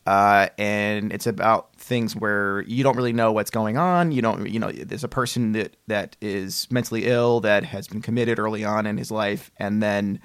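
Male podcast host, lower register, casual interview chat, dry recording. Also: moderate pace, hardly any pauses, fairly bright tone, smooth, no echo.

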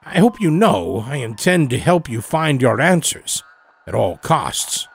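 A man voicing an elderly, evasive doctor in a horror story, speaking in a formal, measured, grave way. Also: mid-range voice, very animated, vocal fry, slightly rough, voice pushed up, full character voice. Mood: confiding, formal. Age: older. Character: elderly evasive doctor running a secret time-travel clinic. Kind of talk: dramatic audio horror storytelling.

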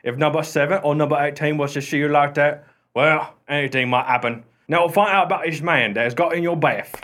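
A man's voice: on the high side, brisk, a bit bright, lightly acted. A man playing an irreverent working-class porter in a radio play, chatty, colloquial and amiably wheedling. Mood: coaxing.

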